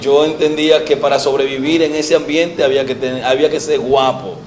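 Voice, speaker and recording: Un hombre dominicano de unos cuarenta años, evangelista, cuenta su testimonio con acento dominicano, serio y con muchas pausas y muletillas. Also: male voice, mid-range voice, level tone, slight background noise. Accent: Dominican Spanish. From Dominican Republic. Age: 40s. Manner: earnest, hesitant with frequent fillers, trails off softly. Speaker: evangelist guest. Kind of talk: testimony interview.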